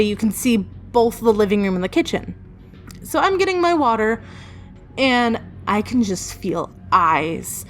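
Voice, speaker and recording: A woman telling a personal story in a casual, informal way, with dramatic pauses for suspense and acted-out thoughts. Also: medium pitch. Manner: casually sweary. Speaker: storyteller, video creator. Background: music.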